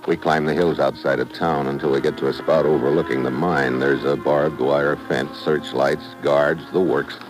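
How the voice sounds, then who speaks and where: An actor playing a tough, wisecracking American secret agent who narrates and acts in clipped slang in an old-time radio thriller. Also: male voice, a bass voice, steady tone, moderate pace, hardly any pauses, music in the background. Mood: terse narration. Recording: noisy old radio drama recording.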